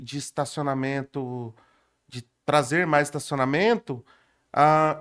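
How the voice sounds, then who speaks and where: Male podcast host, Brazilian accent, fairly high voice, conversational, builds questions hesitantly and the long way round. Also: clean tone. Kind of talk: conversational interview.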